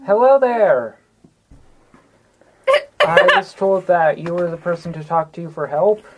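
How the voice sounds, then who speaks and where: A woman's voice: lowish voice, lively intonation, slow pace, muffled recording. A woman playing a tabletop game, chatting casually as she says what her character does. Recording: noisy group recording with clicks.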